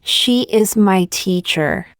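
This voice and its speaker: Woman, English teacher, reading sentences twice, smooth clear tone, dry close sound. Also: medium pitch, expressive, dry recording. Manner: slow, careful, each sentence said twice. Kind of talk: reading practice sentences aloud.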